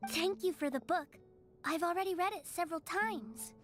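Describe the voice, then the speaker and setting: A frail young girl in an anime game. The voice is extremely high, soft, sweet and polite. Faint music plays behind it. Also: normal speed. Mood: shy, pleased.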